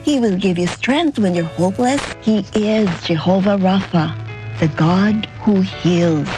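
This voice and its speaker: A woman's voice: mid-range voice, takes it slowly, rough recording. A Filipino woman giving an earnest, scripted inspirational testimonial as a grief coach. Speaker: grief and end-of-life coach. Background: music bed.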